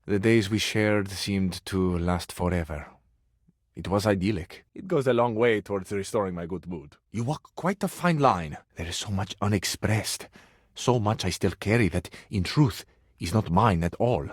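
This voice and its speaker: A man's voice: fairly low pitch, normal speed, no echo, natural voice. Man voicing a melodramatic Italian romantic cabinet, dry close sound. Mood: nostalgic, tender. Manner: florid, dramatic, wounded romantic phrasing. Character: passionate, melodramatic Italian male living cabinet, sensitive romantic. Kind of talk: theatrical video game character acting.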